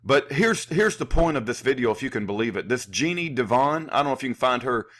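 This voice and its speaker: A man hosting an unscripted political commentary, indignant and insulting, halting and repeating himself as he goes. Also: mid-range voice, animated, a bit bright. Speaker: video host, activist commentator.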